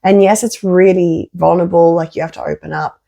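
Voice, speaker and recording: Female therapist in candid podcast chat; gravelly, soft-edged tone, croaky with a flu, expressive delivery. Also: on the low side, brisk. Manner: expressive, emphatic, acts out quoted phrases. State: has a flu. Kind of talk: candid conversational podcast talk.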